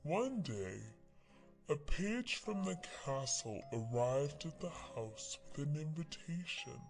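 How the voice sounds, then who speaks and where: A man reading a scripted fairy tale in a measured storybook delivery, with long pauses between phrases. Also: fairly high pitch, very animated. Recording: music under the narration. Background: music.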